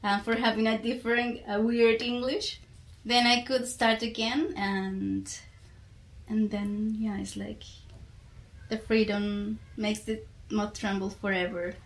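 A woman's voice: medium pitch, fairly even intonation, slow pace. A woman from Colombia with a Colombian accent and Spanish as her first language, speaking reflectively in an interview and searching for words. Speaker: podcast guest.

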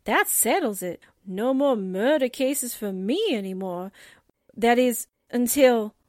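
An actress playing an excitable, wisecracking female sidekick in 1940s-style radio drama. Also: fairly high pitch, slow, dry recording, clean studio sound. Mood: wry. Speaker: voice actor.

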